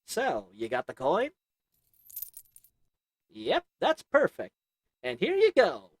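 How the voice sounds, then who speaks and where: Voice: medium pitch, huge pitch swings, slow, no room sound, studio quality, exaggerated voice. Someone voicing a sly goblin potion seller. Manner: wheedling, sarcastic salesman patter; chuckles between lines. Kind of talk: audio roleplay acting to the listener.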